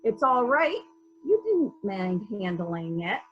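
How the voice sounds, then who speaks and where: A woman playing a brisk, authoritative police detective who puts pointed questions in a murder mystery reading, on slightly dull lo-fi audio. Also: medium pitch, big pitch swings. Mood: probing, brisk.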